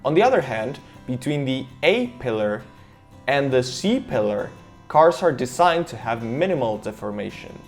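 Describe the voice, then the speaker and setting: A man's voice: medium pitch, sing-song, moderate pace, soft background music. A man from Argentina, speaking English as a second language, narrating a car safety explainer with enthusiastic emphasis. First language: Spanish. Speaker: car reviewer.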